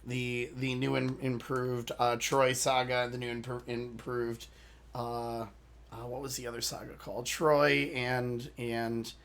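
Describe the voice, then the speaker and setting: A man hosting a reaction channel, talking casually to his viewers with a hoarse voice, rambling and apologizing as he loses his train of thought. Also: mid-range voice, level tone, measured pace, a bit bright. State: hoarse from a lost voice.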